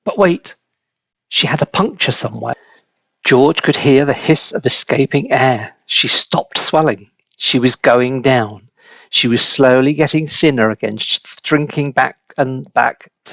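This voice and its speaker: Male storybook narrator, gravelly, expressive, deliberate, dry slightly dull recording. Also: medium pitch, no echo. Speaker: storybook reader.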